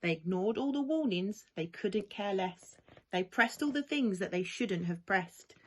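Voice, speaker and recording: A woman reads a picture book aloud for young children. Her voice sits at an average pitch, and she gives the rhymes an animated, lilting swing with plenty of expression. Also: measured pace. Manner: animated, lilting rhyming delivery. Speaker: primary school staff member reading to children. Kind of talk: expressive children's storybook reading.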